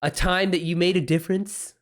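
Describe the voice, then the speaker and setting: A man's voice: sits high, fairly even intonation, fairly quick. A man hosting a livestream about writing, chatting casually and unscripted, thinking aloud with informal slang. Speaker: livestream host and author giving writing advice.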